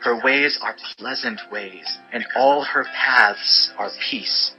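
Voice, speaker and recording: A man narrating a dramatized audio Bible in a solemn, measured, earnest manner. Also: mid-range voice, bright, forceful tone, low-quality recording. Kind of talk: dramatized scripture reading. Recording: background music under voice.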